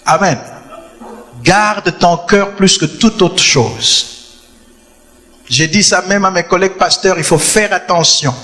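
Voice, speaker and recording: Preaching man, bright reverb-washed church hall. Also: high voice, slow, pauses often. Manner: emphatic preaching, repeats phrases for effect. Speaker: pastor. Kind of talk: sermon.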